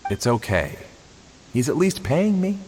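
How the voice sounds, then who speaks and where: A man's voice: vocal fry, heavily acted. A man voicing a weary, resigned young male student in a video game, speaking in a subdued way. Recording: long reverb, faint rumble.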